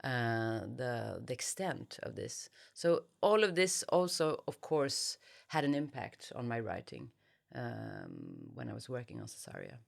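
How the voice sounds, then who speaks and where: Female interviewee, Swedish accent. Unhurried, hesitant, thoughtful delivery with noticeable vocal fry. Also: extremely low pitch, big pitch swings. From Sweden. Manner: hesitant, searching for words with frequent pauses. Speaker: interviewed novelist. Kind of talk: reflective interview answers.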